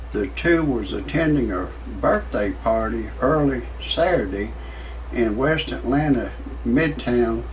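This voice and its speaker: A man speaking slowly and haltingly, stumbling through restarts. He has a low, gravelly voice. He sits at a distance from the microphone with a faint background hiss. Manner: halting, full of uh's and restarts. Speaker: amateur storyteller. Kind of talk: casual rambling retelling.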